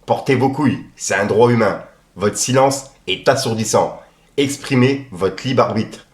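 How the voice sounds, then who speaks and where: A man's voice: mid-range voice, a little slow, roomy sound. A man hosting a casual rap news roundup, reading posts aloud in street slang.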